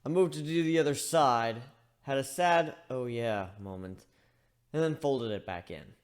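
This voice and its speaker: A man's voice: mid-range voice, expressive, measured pace, smooth, natural voice. A man voicing a chatty, wry griffin who narrates a fan fiction story in a conversational, rambling way. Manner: conversational, rambling, self-interrupting.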